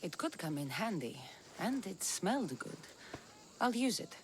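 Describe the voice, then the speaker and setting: Determined female mercenary, terse, confident, lively pitch, faint crickets behind. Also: measured pace, a little hiss or hum.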